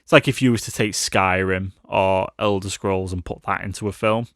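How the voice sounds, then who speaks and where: A man's voice: deep voice, steady tone, normal speed, fairly bright tone, no room sound, studio quality. A British man co-hosting a podcast, chatting casually and informally about films. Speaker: podcast co-host. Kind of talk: casual film review chat.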